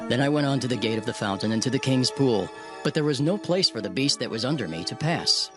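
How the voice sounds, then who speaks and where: A man's voice: medium pitch, animated, fast talker. A man narrating scripture in the first person, reading in an earnest, prayerful manner as part of a dramatized recording. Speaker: narrator voicing Nehemiah. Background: music underscore.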